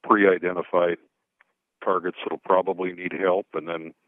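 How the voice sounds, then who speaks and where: Low-voiced man asking press questions. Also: level tone, moderate pace, dry recording, phone-quality sound. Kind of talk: press conference call questioning.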